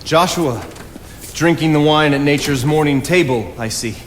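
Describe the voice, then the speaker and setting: An actor playing a solitary, thoughtful writer of the woods in a period stage play, with measured, poetic delivery. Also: male voice, fairly high pitch, close to an everyday voice. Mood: reflective. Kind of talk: theatrical period stage dialogue. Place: on stage in a theatre. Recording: echoey theatre stage with background noise.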